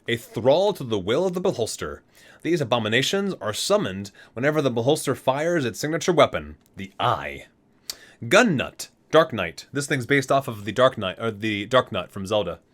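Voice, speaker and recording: A man's voice: mid-range voice, sing-song, moderate pace, a bit bright. A man hosting a gaming ASMR video, reading entries aloud and dropping into casual, amused asides.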